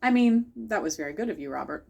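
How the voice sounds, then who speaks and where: A woman's voice: mid-range voice, animated, fast, creaky, mellow, slightly rough. A woman voicing a prim, formal and dramatic female schoolteacher in a picture book read-aloud. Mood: gushing.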